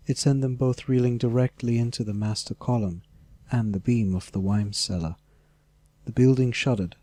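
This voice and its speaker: A man's voice: mid-range voice, mellow, dry recording. A man reading scripted fantasy narration as an audiobook narrator.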